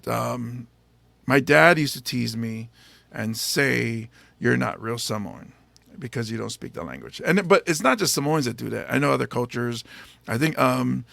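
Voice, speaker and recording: A male podcast host chatting casually in an interview, playful and teasing himself, often halting on fillers. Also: mid-range voice, animated, creaky, a bit bright, no room sound. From United States.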